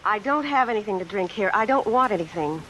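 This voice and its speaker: A woman's voice: medium pitch, lively intonation, quick pace, a bit bright, close to an everyday voice, poor audio. Earnest young American woman, acted on rainy old radio. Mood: guarded, insistent. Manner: hesitant, polite. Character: earnest, guarded young secretary, politely uneasy. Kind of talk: old-time radio suspense acting. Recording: vintage radio recording, hiss and heavy rain. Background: rain sounds added over the broadcast.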